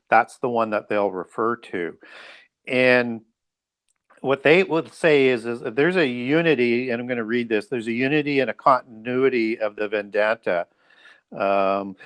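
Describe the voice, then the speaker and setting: Male interview guest, lower-pitched voice, explanatory delivery that feels its way through each point. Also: smooth.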